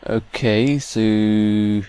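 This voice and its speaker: A man giving casual, halting gameplay commentary, thinking aloud as he plays. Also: on the low side, steady tone, dark tone, clean tone, no echo. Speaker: gamer, let's play host.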